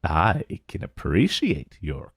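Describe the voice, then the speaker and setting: A man's voice: extremely low pitch, wildly swooping pitch, slow pace, husky, no echo, clean studio sound, full character voice. A man voicing an ancient dragon in a fantasy roleplay monologue, urbane and amused, savoring his words with veiled menace. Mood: probing, amused. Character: ancient male dragon, urbane, intrigued, vaguely menacing familiar.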